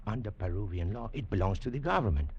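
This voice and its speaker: An actor playing a courteous, cautious Peruvian museum curator in a 1940s radio drama. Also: male voice, fairly low pitch, lively intonation, fast talker, muffled recording, a little hiss or hum. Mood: grave, cautious.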